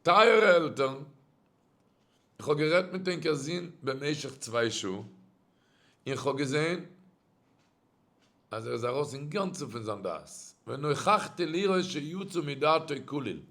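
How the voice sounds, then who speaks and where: A man's voice: fairly high pitch, a little slow, pauses often. A middle-aged man telling a story in a sermon, speaking with a Yiddish accent and often swinging his voice up and down. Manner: animated storyteller, voice rising and dropping sharply. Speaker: rabbi giving a religious talk.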